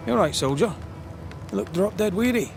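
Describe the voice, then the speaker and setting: An actor playing a wry, blunt ghost hunter in a video game, informal and sardonic. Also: male voice, sits high, animated, a little fast, vocal fry, over music. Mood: concerned, frank. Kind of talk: video game cutscene acting.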